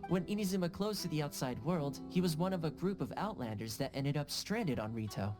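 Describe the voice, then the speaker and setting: A woman's voice: low-pitched, lively intonation, fairly quick. An actress playing a composed, knowing woman informant in a video game, poised, tactful and explanatory. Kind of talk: video game character acting. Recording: music behind the voice.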